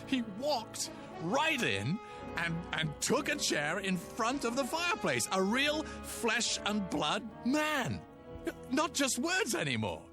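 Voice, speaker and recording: An actor playing an excitable, earnest playwright husband in a radio drama, pleading and stammering with dramatic emphasis. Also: male voice, very high-pitched, takes it slowly, over music, heavily acted. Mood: awed, insistent.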